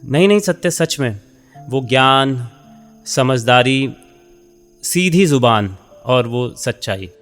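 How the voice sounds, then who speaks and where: An actor playing a warm, talkative middle-aged senior editor in a radio drama, encouraging and conversational, mixing in English phrases. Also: male voice, mid-range voice, expressive, slow, soft background music. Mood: fond, admiring.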